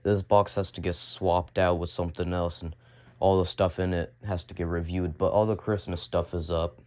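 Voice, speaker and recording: Raspy deep-voiced young man, sick. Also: little pitch movement, normal speed, a little mellow. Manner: rambling, repeats and restarts as he shows things. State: sick, coughing. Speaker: vlogger. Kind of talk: casual home vlog tour. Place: home basement, indoors.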